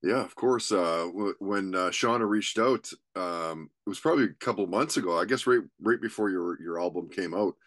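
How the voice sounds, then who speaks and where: A man's voice: deep voice, normal speed, few pauses, fairly bright tone. A Canadian man in his forties hosting a podcast, laid-back and swearing freely, with lots of fillers, on a studio mic at home. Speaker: podcast host. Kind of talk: casual interview chat. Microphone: studio mic on a stand.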